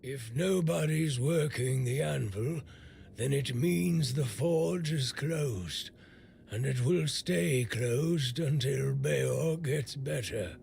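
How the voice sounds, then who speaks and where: An actor playing a bitter, blunt, middle-aged blacksmith in a video game, grumbling and weary with worry. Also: male voice, medium pitch, lively intonation, slow, gravelly, darkish tone, a little hiss or hum. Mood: gruff, scornful. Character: gruff, bitter male blacksmith, worried for a stricken young man.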